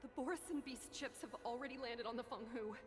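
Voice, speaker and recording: Game role: actress as pleading, emotional war survivor, high female voice in echoing reverb. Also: fast talker, natural voice. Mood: shaken. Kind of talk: video game voice acting. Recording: long reverberation.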